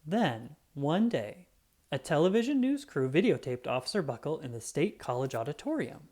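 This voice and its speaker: A storybook narrator reading aloud for children in an expressive, dramatic way with drawn-out emphasis. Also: mid-range voice.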